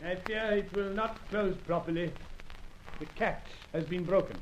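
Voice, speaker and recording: A man's voice: sits high, moderate pace, close to an everyday voice. An actor playing a polite, menacing gunman in a vintage radio thriller, with formal, coolly courteous speech. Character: polite, menacing male villain holding a gun. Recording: muffled old radio recording, faint noise.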